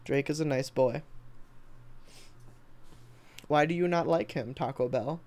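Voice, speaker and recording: A man's voice: a little high, measured pace, darkish tone. An American man from Texas chatting informally on a livestream, rambling and swearing as he reads and answers comments. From Texas, United States. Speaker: livestreamer and video editor. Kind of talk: casual livestream chat, reading and answering comments.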